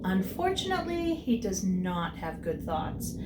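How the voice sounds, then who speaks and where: A woman's voice: medium pitch, noisy background. A woman kindergarten teacher reading a picture book aloud expressively and chatting to young children. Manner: animated, explains words to children, drawn-out delivery when reading. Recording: roomy echo, distant mic.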